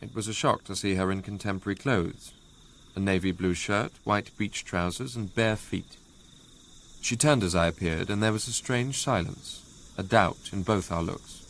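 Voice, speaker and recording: Casting: reflective young narrator of a radio play; low, gravelly, understated first-person storytelling. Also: male voice, moderate pace, fairly bright tone, a little hiss or hum. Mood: hushed, reflective. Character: reflective young man narrating his own troubled past.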